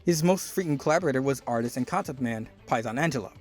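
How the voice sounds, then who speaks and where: A man's voice: mid-range voice, expressive, fast, darkish tone, faint music behind. A man hosting a video essay, narrating from a script with wry, conversational asides.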